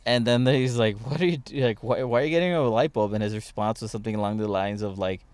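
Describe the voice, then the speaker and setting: A man's voice: on the low side, fast, a little mellow, smooth, no echo. A young man hosting a podcast, telling stories in casual chat with lots of fillers and restarts. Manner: rambling storytelling, many restarts and fillers. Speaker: podcast host.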